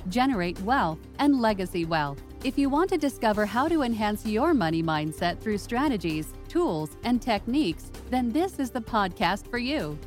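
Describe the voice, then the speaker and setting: A woman's voice: fairly high pitch, big pitch swings, normal speed, hardly any pauses, a little mellow. A woman announcing a podcast intro in a polished, promotional delivery over faint music. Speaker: show announcer.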